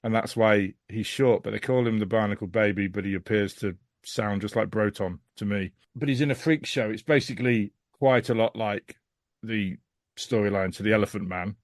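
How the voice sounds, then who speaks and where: A man hosting a podcast, giving an exasperated, emphatic review in casual, animated chat. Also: on the low side, moderate pace, no room sound, studio quality. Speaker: podcast host.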